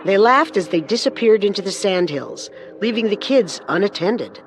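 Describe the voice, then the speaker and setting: A woman co-narrating a scripted true-crime story with measured, dramatic delivery. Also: medium pitch, animated, slight background noise.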